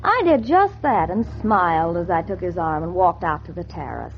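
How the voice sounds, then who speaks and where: A woman's voice: lowish voice, sing-song, normal speed. An actress playing a wisecracking young American dance-hall woman posing as an heiress, in a slangy, wry 1940s radio style. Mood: wry, sassy. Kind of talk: 1940s radio acting, noir first-person narration. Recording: muffled 1940s radio recording with loud music.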